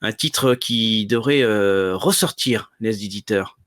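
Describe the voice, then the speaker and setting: A man's voice: fairly low pitch, slow, a bit bright, no room sound. Dry-sounding man in casual chat. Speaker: columnist on an anime and manga show.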